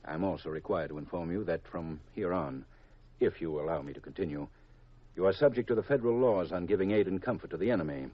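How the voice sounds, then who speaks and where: A formal, guarded army colonel with a deep American voice. He speaks gravely and with measure, like official business in a 1950s radio play. The recording is old and muffled. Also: quick pace. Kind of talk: 1950s radio drama acting.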